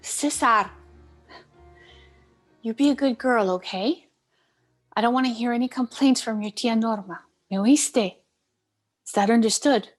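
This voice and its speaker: A man's voice: extremely high pitch, measured pace, pauses often, soft background music, voice pushed up. A man voicing a worried Cuban mother sending her young daughter away, scolding and pleading, in a play table read. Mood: fretful scolding.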